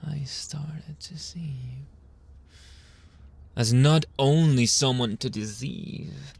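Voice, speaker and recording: A man playing a menacing, possessive mafia boss in an ASMR roleplay, speaking deliberately with mock sweetness and often dropping into a whisper. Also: mid-range voice, a little mellow, no echo. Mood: twisted tenderness. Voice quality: breathy, often whispering, creaky.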